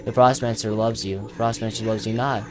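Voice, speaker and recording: A man's voice: fairly low pitch, fairly even intonation, moderate pace, music in the background. A man commentating casually on his own video game playthrough, thinking aloud as he plays.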